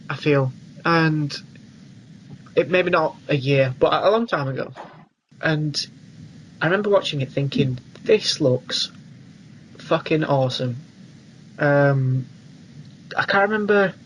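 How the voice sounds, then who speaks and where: A man's voice: a little high, slight background noise, low-quality recording. A young British man co-hosting a film podcast in a casual, informal and emphatic way. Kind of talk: casual chat.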